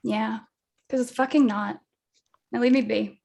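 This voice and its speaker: A prickly woman at a bar, played by an actress at a table read, who is curt and dismissive. Her voice sits high with a crackle of fry. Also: fairly even intonation, unhurried, darkish tone. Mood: irritated. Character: blunt, irritable female bar patron and radio DJ. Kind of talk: film script table read.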